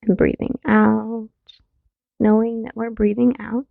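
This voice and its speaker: A woman speaks as a mindfulness teacher, her voice heavily laced with vocal fry. She guides a relaxation practice gently and very slowly, leaving room between phrases. The sound is thin and narrow, like a phone line. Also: a little high, flat delivery, no echo. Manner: gentle, unhurried, soothing, with long pauses. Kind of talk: guided meditation, body scan.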